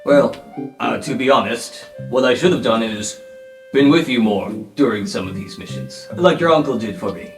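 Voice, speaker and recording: A man's voice: mid-range voice, normal speed, echoey room, soft background music, close to an everyday voice. A man voicing a protective older superhero cousin in a tabletop game, solicitous and apologetic. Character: fussy, protective older cousin hero called a Skull.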